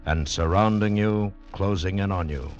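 Super deep guy doing ominous old radio intro, muffled recording, bit of music. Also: moderate pace. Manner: ominous, dramatic second-person address. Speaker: announcer. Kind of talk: 1940s radio drama introduction, scripted.

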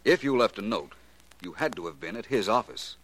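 An actor playing a shrewd, self-assured private detective in a theatrical, deliberate 1940s radio drama style. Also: male voice, fairly low pitch, lively intonation. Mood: explaining calmly. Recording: noisy old 1940s radio recording.

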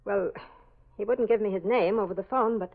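An actress playing a secretive, suspicious nurse in a controlled, conspiratorial 1940s radio mystery style. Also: female voice, mid-range voice, a little fast, close to an everyday voice. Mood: probing, suspicious. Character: furtive, suspicious woman nurse, secretive and probing. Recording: thin, phone-like old radio recording.

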